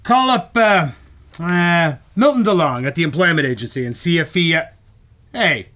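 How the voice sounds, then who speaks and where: Man acting brash, high-pitched radio boss. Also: lively intonation, bright, forceful tone. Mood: probing. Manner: wry, hesitant pauses, calls people kid. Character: male radio station boss, world-weary, brash, avuncular. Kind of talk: old-time radio drama acting, live on stage.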